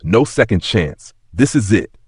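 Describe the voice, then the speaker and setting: A man voicing a calm, stern, quietly menacing airline CEO in an audio story, measured and firm. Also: on the low side, breathy edge, dry recording, clean studio sound, full character voice.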